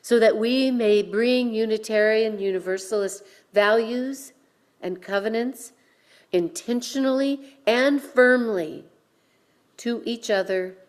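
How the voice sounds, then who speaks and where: A woman minister delivering a sermon with measured, deliberate phrasing and pauses, guiding listeners in a reflective way. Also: on the high side. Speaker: minister leading a worship service. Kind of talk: sermon with guided breathing.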